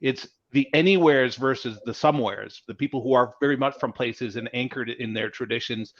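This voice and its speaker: A man in his forties tells a humorous story on a video call, stumbling through repeated restarts. His call audio comes through dull and lo-fi. His pitch sits in an ordinary middle range. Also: brisk, slight background noise. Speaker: panelist, software executive. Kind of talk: panel discussion.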